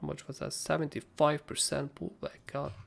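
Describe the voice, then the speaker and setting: A young man with a hoarse, raspy voice, casually talking through trading charts as a livestream host, rambling and swearing freely. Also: a little high, level tone, moderate pace. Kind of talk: casual live chart analysis.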